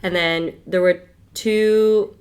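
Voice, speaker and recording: A chatty young woman talks as a podcast guest, pitched right in the middle for a woman. She laughs often and fills her sentences with "like" as she tells her stories. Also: steady tone, slow pace, a little mellow, slight background noise. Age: young adult. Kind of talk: casual chat.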